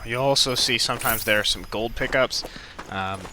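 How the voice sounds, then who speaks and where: A man's voice: mid-range voice, moderate pace, sharp tone, slight background noise, low-quality recording. A man hosting a let's play with casual, hesitant, rambling gameplay commentary.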